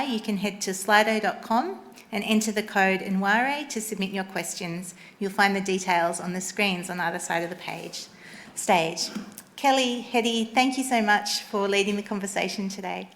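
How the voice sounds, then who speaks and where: An Australian woman opening a gallery event as host, giving formal welcome and audience housekeeping in a brisk, clear manner. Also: mid-range voice. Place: theatre stage at a gallery event.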